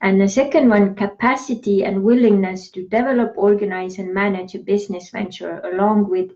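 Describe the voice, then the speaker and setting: A woman's voice: mid-range voice, steady tone, brisk, a little mellow, muffled recording. A woman from Estonia with an Estonian accent, co-hosting a casual chat and laughing often. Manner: chatty, laughs often, reads definitions aloud. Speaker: podcast co-host.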